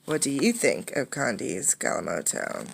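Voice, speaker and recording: A woman reading a children's storybook aloud with expressive narration, pausing to put simple questions to her listeners. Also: extremely low pitch, gravelly, slightly rough. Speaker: teacher reading a picture book aloud.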